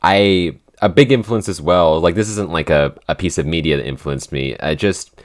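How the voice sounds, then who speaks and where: Very deep-voiced man. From Pacific Northwest, USA. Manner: halting, many "like" fillers, laughs between phrases. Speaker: podcast co-host and writer. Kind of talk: casual chat.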